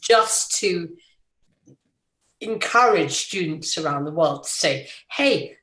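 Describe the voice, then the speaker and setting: A woman's voice: lowish voice, animated, takes it slowly, fairly bright tone, reverberant. A woman whose first language is Swedish, a guest teacher who chats in a nervous, hesitant, self-deprecating way. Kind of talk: casual chat.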